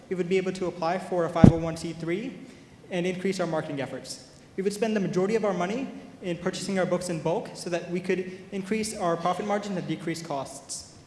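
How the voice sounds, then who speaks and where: A young man from Pakistan speaking as a student presenter, pitching and answering questions at an event. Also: darkish tone. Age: young adult. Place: large indoor event hall. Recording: echoey hall, faint noise.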